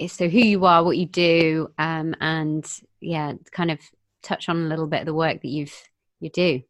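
Woman, 20s, podcast host, bubbly and chatty, low voice, dry close sound. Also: steady tone, moderate pace, no room sound. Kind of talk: podcast intro and casual interview.

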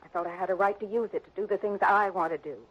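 An actress playing a defiant, headstrong young adopted heiress in a 1960s radio drama. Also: female voice, medium pitch, fairly even intonation, fast talker, natural voice. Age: young adult. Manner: headstrong, defensive. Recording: dull lo-fi old radio broadcast.

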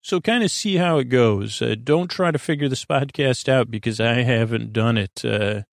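A man with a creaky voice hosting a bedtime podcast in a soothing, lulling, meandering monologue that halts and drifts into tangents. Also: mid-range voice, no room sound, studio quality. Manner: meandering, halting, trailing off into tangents and repeats. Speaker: host of a bedtime story podcast. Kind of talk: rambling bedtime monologue to lull listeners to sleep.

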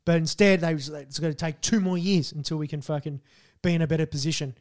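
An Australian man co-hosting a podcast in casual chat, hoarse after losing his voice, swearing and telling stories animatedly. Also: on the high side, steady tone, quick pace, a little mellow. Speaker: podcast co-host.

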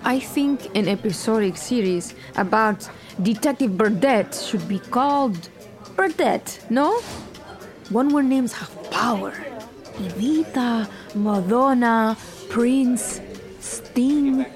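A woman's voice: a little high, animated, slow, faint music behind. A woman playing a grand, imperious, theatrical producer in an audio fiction drama. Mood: grand, delighted.

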